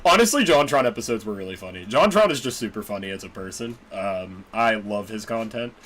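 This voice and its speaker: A man in his twenties hosting a podcast, chatting in a relaxed way with frequent hesitant fillers and easy laughter. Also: on the low side, fairly quick, slight background noise. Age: 20s. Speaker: podcast host. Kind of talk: casual podcast chat.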